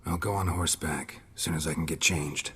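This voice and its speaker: A man playing a gruff, laconic monster hunter in a video game, terse and deadpan with dry sarcasm. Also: a bass voice, a little fast, big-room sound, full character voice. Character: gruff, laconic, dryly sardonic male monster-hunting warrior. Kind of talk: understated video game acting.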